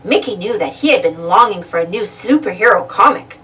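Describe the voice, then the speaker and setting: A woman reading a children's storybook aloud in an expressive, dramatic storytelling manner. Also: mid-range voice, brisk, recorded from a distance. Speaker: storybook reader. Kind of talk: animated children's read-aloud narration.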